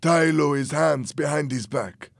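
A man playing a menacing henchman, voice pitched high. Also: slow, creaky, no room sound, studio quality, heavily acted. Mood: cruel, commanding. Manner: barking orders, mocking, threatening. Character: cruel, menacing henchman with a rifle, obedient to boss.

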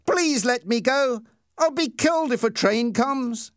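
A man's voice: a little high, very animated, moderate pace, no echo, clean studio sound, voice pushed up. A man voicing a careful, reasoning boy who protests against bullies in an audiobook story. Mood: terrified.

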